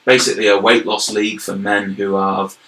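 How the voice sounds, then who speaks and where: Brief: young Yorkshire man, interview guest, earnest and explanatory, gravelly tone, roomy echo. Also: lowish voice, level tone, a little fast, sharp tone. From York, England. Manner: earnest, explanatory, repeats words as he goes. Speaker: guest, health and wellbeing manager. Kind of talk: casual interview chat. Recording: roomy echoey recording.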